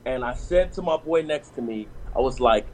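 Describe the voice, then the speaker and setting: A man's voice: mid-range voice, expressive, normal speed, darkish tone, rough recording. A man on a discussion panel talking casually and telling personal stories, with lots of restarts and "you know what I'm saying.".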